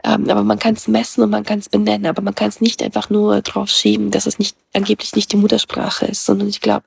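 A middle-aged woman whose native language is Russian, a guest in a casual conversation, thinking aloud with many pauses and fillers. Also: mid-range voice, completely flat delivery, gravelly, a little mellow, husky, no echo, clean studio sound. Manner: thoughtful, many hesitations and fillers.

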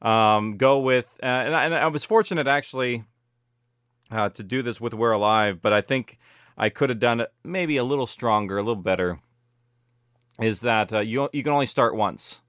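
A man's voice: mid-range voice, moderate pace, smooth, no echo, clean studio sound. A middle-aged man chatting casually as an interview guest, rambling and correcting himself as he goes. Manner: animated rambling, self-correcting, peppered with "like" and "you know". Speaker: interview guest, audio drama producer.

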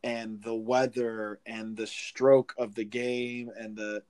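A man in his twenties co-hosts a sports podcast in a slow, unhurried way. He stays relaxed and muses out loud at a drawn-out pace. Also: medium pitch, clear, resonant tone. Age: 20s. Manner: laid-back, drawn-out musing. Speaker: podcast co-host. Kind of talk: casual sports podcast chat.

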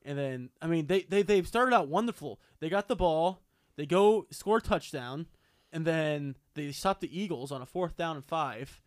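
Man, hoarse voice, slightly higher pitch, excitable rambling chat, dead-dry close sound. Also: normal speed, no room sound. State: hoarse from yelling. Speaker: podcast co-host. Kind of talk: casual chat.

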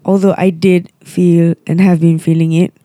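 A touring musician with a Malaysian English accent, hoarse and unwell, chatting casually in a rambling, halting way. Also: medium pitch, flat delivery, warm tone, dry recording, studio quality. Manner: rambling, halting, with many pauses and restarts. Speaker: touring musician and podcast co-host. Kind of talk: casual chat.

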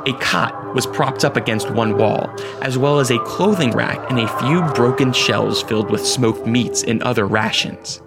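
A man's voice: medium pitch, normal speed, hardly any pauses, over loud music. A man giving scripted narration for a radio drama, as the storyteller.

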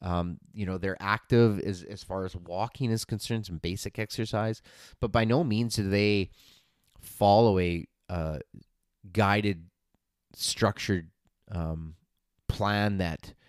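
A man in his forties with a very low, smooth, resonant voice, talking as a fitness coach on his own podcast. He is earnest and encouraging, with plenty of fillers and restarts. The recording is dry and close. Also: steady tone, slow pace, no room sound. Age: 40s. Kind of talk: casual solo podcast talk.

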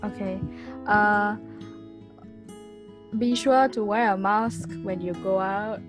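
A young Singaporean woman chatting on a podcast in a mid-pitched voice, loose and full of laughs. She sprinkles in Singlish particles as she tells stories. Faint music sits underneath. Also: steady tone, slow. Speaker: podcast co-host. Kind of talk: casual chat.

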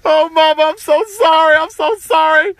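Tearful small boy, very high, loud sobbing apologies for a storybook. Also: normal speed, dry recording. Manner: wailing, pleading. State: crying.

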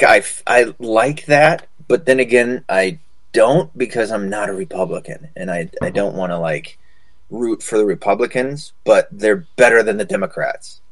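Low-voiced man chatting casually, close and dry. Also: no echo. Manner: profane, colloquial, calls listener dude. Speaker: podcast co-host. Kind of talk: casual, rambling political chat and anecdote.

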